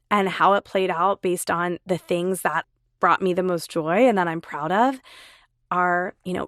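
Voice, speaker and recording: An enthusiastic woman hosts her own podcast, talking chattily straight to her listeners. Her voice is a little raspy from a lingering cold. The sound is close and dry, with no room around it. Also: medium pitch, dry recording. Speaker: podcast host. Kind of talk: solo podcast monologue.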